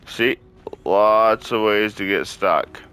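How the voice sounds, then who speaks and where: A man's voice: on the low side, slow, fairly bright tone, clean tone. A man giving casual let's-play commentary, talking informally to his viewers and swearing freely. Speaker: gaming video host.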